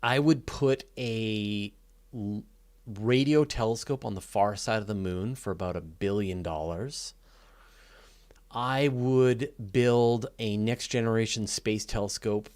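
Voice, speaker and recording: A man's voice: lowish voice, slow pace, a little mellow. A man talking casually and off the cuff as the host of a live question session, thinking aloud in a conversational, keen way. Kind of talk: casual unscripted Q&A talk about space and astronomy.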